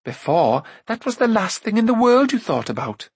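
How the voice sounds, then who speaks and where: A man's voice: a little high, lively intonation, slightly rough, no room sound, pitched above the performer's own voice. A man voicing a headstrong young princess from a storybook, chattering and exclaiming with eager indignation. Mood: indignant. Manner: rushed, breathless, exclaiming chatter.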